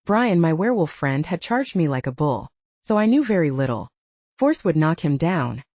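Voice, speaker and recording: A woman's voice: deep voice, sing-song, moderate pace, darkish tone, dry recording. A woman narrating a scripted first-person story as an audiobook narrator, in a slightly dull recording.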